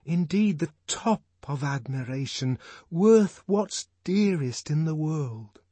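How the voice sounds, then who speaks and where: An ardent young man in love , as a male narrator acts him out, pitched high and pleading. He declaims with halting, reverent fervour and wide swoops, in a husky storybook voice. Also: expressive, mellow, no room sound, heavily acted. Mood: reverent, rapturous.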